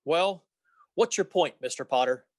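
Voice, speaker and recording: A man's voice: on the high side, normal speed, no echo, studio quality, lightly acted. A man playing an earnest, flustered young small-town family man in an old-time radio play, stammering and using folksy exclamations. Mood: astonished.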